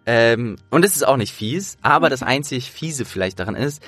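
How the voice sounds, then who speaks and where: A man's voice: mid-range voice, animated, moderate pace, a bit bright, soft background music. A man chatting casually as an interviewer, with a trained voice actor's delivery. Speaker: interviewer and host.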